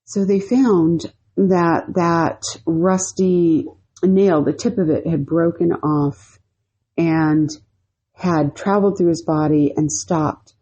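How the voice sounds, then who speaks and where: A woman's voice: on the low side, steady tone, slow pace, dark tone, husky. A woman co-hosting a podcast, telling a story casually, rambling with lots of "you know" and "like". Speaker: podcast co-host.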